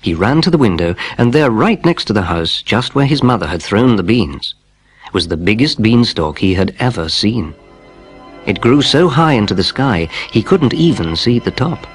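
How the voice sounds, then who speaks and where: A man with a fairly low voice narrates a children's storybook. His scripted delivery is expressive and lively in pitch, with clear music behind him. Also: moderate pace. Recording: music playing underneath.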